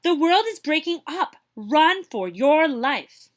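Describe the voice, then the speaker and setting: A woman's voice: high-pitched, big pitch swings, voice pushed up, heavily acted. A woman voicing a panicky, worrywart young female hare in a children's storybook, alarmed and exclaiming. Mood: panicked. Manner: alarmed, exclaiming, drawn-out warnings.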